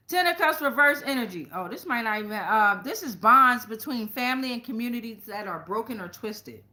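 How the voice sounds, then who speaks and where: A woman's voice: a little high, lively intonation, moderate pace, sharp tone. An American woman hosting a casual tarot reading for viewers, speaking in a blunt, slangy way. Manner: blunt, slangy, freely swearing. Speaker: tarot reader and show host.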